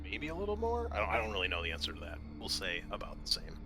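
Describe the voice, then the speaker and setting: A man narrating as game master in a tabletop roleplaying game, halting as he thinks aloud through his rulings. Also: fairly low pitch, wildly swooping pitch.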